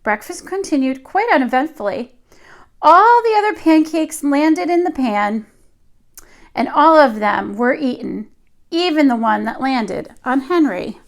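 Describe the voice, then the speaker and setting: High-pitched woman reading a children's story. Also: very animated, a little slow, vocal fry. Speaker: teacher reading stories to young children. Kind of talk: read-aloud storytime of a picture book.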